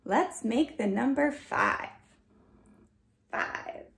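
A young woman reads aloud for small children in a sing-song manner. Her pitch swings widely and she takes her time. The room adds noticeable echo around her voice. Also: creaky. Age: young adult. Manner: animated, slow, sing-song, addressing children. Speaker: children's dance teacher reading picture books. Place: small furnished room indoors. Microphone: camera a short distance away.

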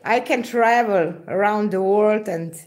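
A woman in her forties from Slovenia with a Slovenian accent, chatting casually on a live stream, halting and searching for words with many fillers. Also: mid-range voice, darkish tone. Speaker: acrylic pouring artist hosting a live stream. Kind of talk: casual live-stream chat reading viewer comments. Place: indoor craft table, camera overhead.

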